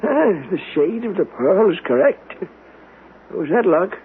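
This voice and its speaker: An actor playing an elderly, wistful, haunted man in a radio drama, speaking in a halting, sighing, old-fashioned way. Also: high-pitched, big pitch swings, exaggerated voice. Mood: wry, gruff. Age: older. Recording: tinny, noisy vintage radio broadcast.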